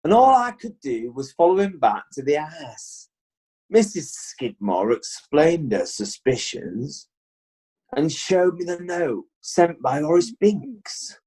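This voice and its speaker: Very lively, earnest police detective played by an actor. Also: mid-range voice, slow, breathy edge, full character voice. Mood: earnest, dramatic. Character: earnest police detective giving dramatic evidence. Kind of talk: comic courtroom play acting.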